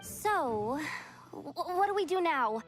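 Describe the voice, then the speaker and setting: A woman voicing a tiny, childlike fairy companion in a video game, squeaky, earnest and questioning. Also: sing-song, slow, faint music behind, exaggerated voice. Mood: earnest, puzzled. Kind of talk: cartoonish video game voice acting.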